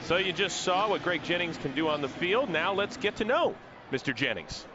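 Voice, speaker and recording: A man calls football play by play, rising sharply and excitedly on big plays. His fairly high voice comes through a muffled old broadcast feed. Loud noise runs under everything. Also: moderate pace. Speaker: play-by-play commentator. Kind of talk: sports play-by-play commentary.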